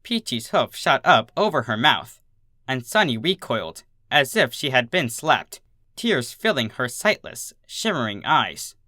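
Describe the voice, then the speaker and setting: A narrator reading fan fiction aloud in an expressive storytelling style. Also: medium pitch, unhurried, no echo, clean studio sound.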